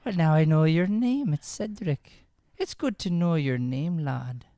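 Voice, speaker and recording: A man playing a menacing Irish householder in an improvised radio comedy, taunting with drawn-out threats and an Irish accent. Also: a little high, expressive, warm tone, no echo, clean studio sound, voice pushed up. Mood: sly menace. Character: menacing Irish householder guarding his alley. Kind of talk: improvised old-time radio comedy play.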